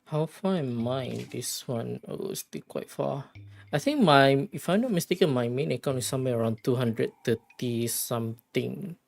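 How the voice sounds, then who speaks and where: A game livestreamer chatting casually, rambling and halting while thinking aloud. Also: medium pitch, lively intonation, gravelly, a little mellow. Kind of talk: casual gaming stream chat.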